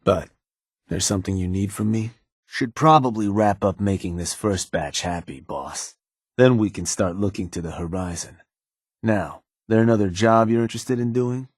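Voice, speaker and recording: A man voicing a scheming raider advisor from a video game, middle-aged and unhurried. His voice sits low and a little husky, and it comes across dry and close. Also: no room sound, close to an everyday voice. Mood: calm. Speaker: advisor to the player's raider gang.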